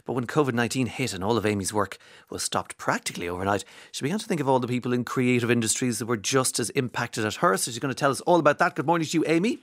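A man hosting a morning radio show, chatting casually and briskly with guests. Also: mid-range voice, a bit bright, dry recording.

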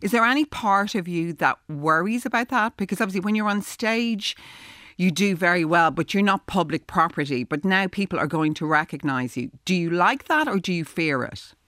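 A woman's voice: lowish voice, animated, normal speed, fairly bright tone, no room sound. Woman radio interviewer, dry sound. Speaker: radio host.

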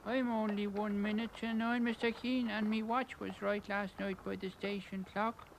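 A man's voice: high-pitched, level tone, normal speed, creaky, slight background noise, low-quality recording. A man, playing a put-upon worker who protests to his boss in a vintage radio drama. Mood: protesting. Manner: protesting, pleading tone. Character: put-upon male worker, defensive and talkative.

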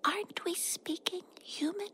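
A woman playing a tiny, curious, friendly spider in a children's audio drama, with a whispery, breathy, wide-eyed delivery. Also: very high voice, pushed and bright, no room sound, heavily acted. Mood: curious, amazed. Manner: slow, wide-eyed, inquisitive.

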